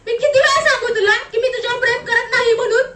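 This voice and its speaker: A woman's voice: extremely high pitch, fairly even intonation, fast, sharp tone. A woman playing an anguished, defiant young acid attack survivor in a melodramatic, tearful theatrical monologue, repeating her phrases. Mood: frightened, pleading. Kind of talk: theatrical one-act stage monologue. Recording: echoey room, distant mic, noisy.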